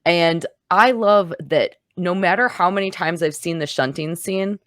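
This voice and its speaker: A woman with a low voice hosts a casual film discussion. She speaks with open enthusiasm, and the sound is dry and close, free of room echo. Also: a little slow, fairly bright tone. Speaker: host of a film review show.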